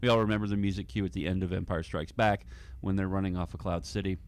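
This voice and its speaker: A man's voice: low voice, fairly even intonation, fast. A man giving a casual walkthrough of his audio drama editing, thinking aloud in fragments. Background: film score music.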